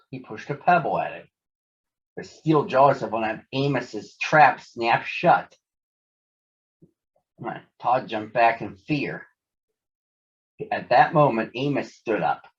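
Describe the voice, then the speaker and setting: A man's voice: mid-range voice, highs cut off. A man narrating a children's story from a script as a storybook reader.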